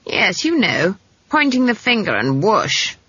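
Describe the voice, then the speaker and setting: Woman putting on a husky, sour old country witch. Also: fairly low pitch, very animated, unhurried, a bit bright, dry recording, lo-fi sound, full character voice. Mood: sour, cryptic. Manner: sour, brusque, cryptic, scolding. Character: sour, wry old country witch, female, brusque and knowing.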